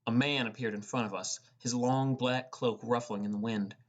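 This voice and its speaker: A man's voice: mid-range voice, steady tone, moderate pace. A man reading first-person fantasy narration as an audiobook narrator. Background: music.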